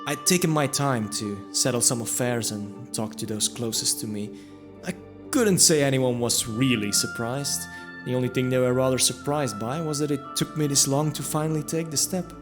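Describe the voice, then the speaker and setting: A man's voice: mid-range voice, normal speed. A man narrating a first-person fantasy audio drama in a reflective storytelling manner. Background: music.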